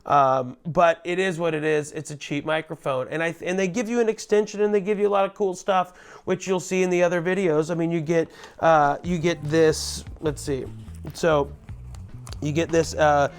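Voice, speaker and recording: A loud, chatty man giving a casual product tutorial, speaking into a lavalier mic clipped under his shirt. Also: on the high side, fairly even intonation, moderate pace, clear, resonant tone, faint music behind. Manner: loud, chatty, self-deprecating asides. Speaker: gear reviewer.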